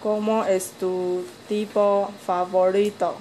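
A woman off camera reading interview questions slowly, with small stumbles. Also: medium pitch, a little hiss or hum, low-quality recording. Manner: slow, flat reading with stumbles.

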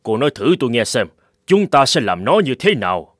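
A man's voice: medium pitch, sing-song, a little fast, no room sound, clean studio sound. A man voicing a stern, demanding and dismissive company director in a cartoon, speaking bluntly and scornfully. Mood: exasperated. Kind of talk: dubbed cartoon dialogue.

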